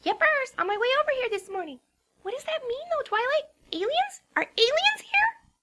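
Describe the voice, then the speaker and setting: A woman's voice: very high-pitched, very animated, moderate pace, pushed and bright, full character voice. A woman voicing a hyperactive, bubbly female pony who squeals and bounces through her lines. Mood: excited. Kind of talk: fan dramatic reading.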